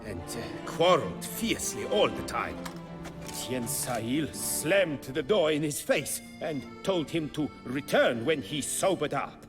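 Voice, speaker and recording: An actor playing a proud, wistful master armorer in a video game, telling tales in a formal, measured manner. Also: male voice, medium pitch. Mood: wry, recounting. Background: music.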